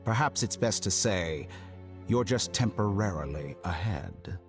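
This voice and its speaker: An arrogant scholar villain for a video game, with a low voice that swings expressively through measured, unhurried phrases. He sounds coolly amused, formal and condescending. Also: expressive, music in the background. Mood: cool, smug. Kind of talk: theatrical video game voice acting.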